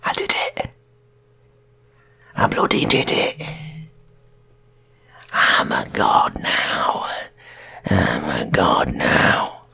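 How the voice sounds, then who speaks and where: A man's voice: very deep voice, lively intonation, extremely slow, creaky, pauses often, pushed and bright, slightly rough, in a whisper, pitched below the performer's own voice, full character voice. A man voicing a manic, self-glorifying criminal in an improvised story, intense and repetitive, with a strained delivery. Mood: breathless triumph. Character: manic self-glorifying armed male criminal craving fame.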